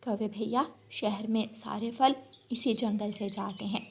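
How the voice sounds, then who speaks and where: A woman voicing a gentle, helpful village bulbul in a children's cartoon, patient and softly reasoning. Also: mid-range voice, steady tone, unhurried, creaky, slight background noise. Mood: patient, explaining. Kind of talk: children's cartoon story acting.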